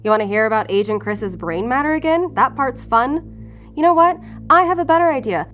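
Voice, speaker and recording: A woman's voice: fairly high pitch, animated, moderate pace, a bit bright. Guarded sarcastic female agent, blunt casual talk, audio drama, faint music under it. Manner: sardonic, deflecting, casual and blunt. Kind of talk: naturalistic audio drama acting.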